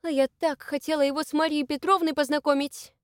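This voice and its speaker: Woman doing a shrill, gushing young hostess. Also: fairly even intonation, a little fast, no room sound, studio quality, natural voice. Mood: lively. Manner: shrill, gushing, exaggerated liveliness. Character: bossy fussy young lady hostess.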